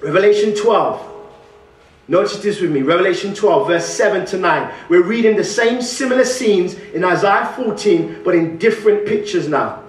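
A man's voice: high-pitched, moderate pace. A young man from England with a West Midlands accent, preaching a sermon and calling out questions to the congregation. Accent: West Midlands English. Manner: animated, calls out questions to the congregation; gestures broadly at the pulpit. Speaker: guest preacher. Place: large church hall, indoors. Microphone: stand mic at pulpit, far camera. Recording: roomy church echo, mic at a distance.

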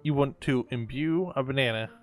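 A man's voice: mid-range voice, moderate pace, natural voice. A man playing a geeky scientist in an improvised game roleplay, earnest and pedantic, with a theatrical delivery. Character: geeky, banana-obsessed male scientist in a game. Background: background music.

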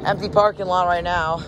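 A man's voice: sits high, steady tone, unhurried, over music. A man hosting a casual walking vlog outdoors, chatting and thinking aloud as he films a mostly empty parking lot. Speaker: vlog host. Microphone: handheld camera while walking. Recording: outdoor recording with clear traffic noise. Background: outdoor ambience and passing cars.

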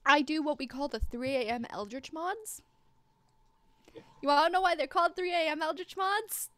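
Higher-voiced woman, dry close sound. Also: normal speed, fairly bright tone, no room sound. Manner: wry, self-deprecating joking. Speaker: guest storyteller and game master. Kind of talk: casual livestream chat.

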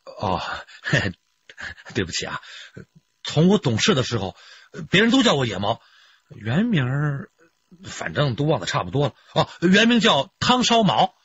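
Boastful, folksy male suspect, higher-set husky voice, radio drama, dulled sound. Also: huge pitch swings, normal speed, a bit bright, lo-fi sound, a little hiss or hum. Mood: boastful, chatty. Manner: rambling, pleading, folksy. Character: rough, boastful, lovestruck fisherman suspect, male adult. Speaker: actor in police radio drama.

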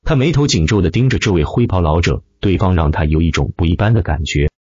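A man's voice: sits low, animated, quick pace, darkish tone, husky, dry recording, highs cut off. A man reading a fantasy novel as dramatic scripted narration. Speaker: audiobook narrator.

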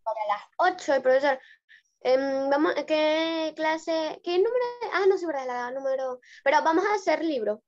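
High-voiced chatty student on a call. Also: female voice, moderate pace, highs cut off. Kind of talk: casual chat with teacher.

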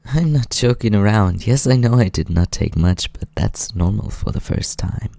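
Male actor as courteous vampire for ASMR roleplay: deep, gravelly, teasing, intimate, dry close-mic sound. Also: animated, no room sound. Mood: pleased, reassuring. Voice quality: low, creaky. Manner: soft-spoken, intimate, unhurried, polite. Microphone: close microphone.

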